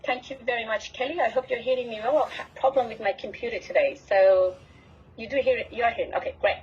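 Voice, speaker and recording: A woman from Afghanistan giving a presentation as a refugee advocate on an online call, speaking haltingly with frequent pauses mid-phrase. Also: fairly high pitch, husky. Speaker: refugee advocate, network executive director. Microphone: computer on an online call. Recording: muffled lo-fi computer call audio.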